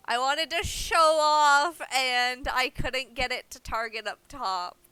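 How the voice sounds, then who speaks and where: A woman casually chatting as she plays an online game on stream, playful, teasing and quick to swear. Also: sits high, pushed and bright, clean tone, no room sound. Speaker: livestreamer playing an online game. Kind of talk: casual gameplay chat with a co-player.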